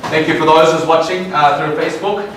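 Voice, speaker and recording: A man's voice: fairly high pitch, fairly even intonation, brisk, noisy background. A man moderating a panel forum, speaking hesitantly with many ums and uhs. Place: community forum venue. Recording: very echoey hall, mic at a distance.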